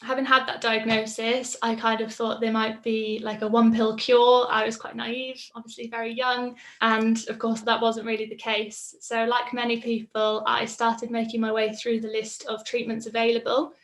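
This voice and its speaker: Woman in her 20s talking about her own life, relaxed with lots of ums, kinda high voice. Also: moderate pace, few pauses. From Mid Wales. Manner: casual, reflective, frequent ums. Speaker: patient sharing her story. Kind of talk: personal testimonial.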